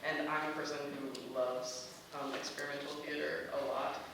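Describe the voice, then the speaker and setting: Higher-pitched man, hesitant, in echoey room. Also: level tone, gravelly. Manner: hesitant, pausing between phrases. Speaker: audience member giving feedback. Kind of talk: casual discussion. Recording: noisy echoey room from far off.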